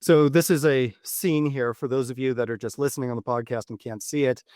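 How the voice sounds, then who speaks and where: A man speaking as a dating coach and podcast host, giving instructional advice in deliberate, measured phrasing with repeated words. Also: mid-range voice, steady tone, a little mellow, no echo, studio quality. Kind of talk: instructional podcast monologue to camera.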